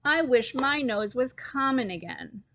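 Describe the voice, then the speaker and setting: A woman's voice: high voice, lively intonation, unhurried, pushed and bright, dry recording, voice pushed up, exaggerated voice. Woman as a moaning, weary storybook villager. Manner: moaning, complaining.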